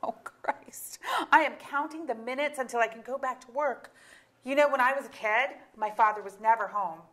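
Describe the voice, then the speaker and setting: A woman's voice: on the high side, normal speed, fairly bright tone, close to an everyday voice. A woman playing an exasperated middle-aged mother, candid and sardonic, in a staged comedy reading. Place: auditorium stage.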